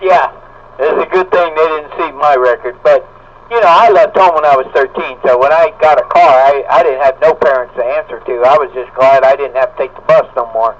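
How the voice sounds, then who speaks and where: High-voiced man on CB radio. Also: a little fast, a crowd in the background. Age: middle-aged. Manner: blunt, folksy. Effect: CB radio transmission. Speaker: amateur CB radio operator. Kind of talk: casual radio chat. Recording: muffled lo-fi CB radio signal.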